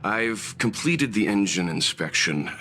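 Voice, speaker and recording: A man playing a level-headed, practical crew mentor in a video game, measured and reassuring. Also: on the low side, lively intonation, bright, forceful tone, faint music behind. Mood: calm.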